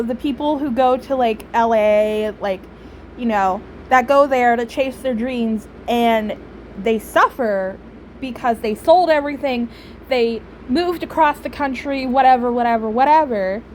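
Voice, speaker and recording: A woman giving casual, rambling, opinionated commentary on a game story in a halting way. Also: a little high, slight background noise, poor audio. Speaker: streamer reacting to a game story.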